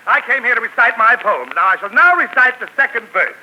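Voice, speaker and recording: A man's voice: extremely high pitch, animated, a little fast, sharp tone, full character voice, rough recording. A man playing a pompous, easily flustered poet who sputters, in 1940s radio acting on an old hissy broadcast.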